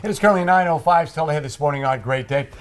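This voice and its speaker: A man's voice: a little high, fast. An American man presenting a live morning TV weather forecast in a conversational, informal manner.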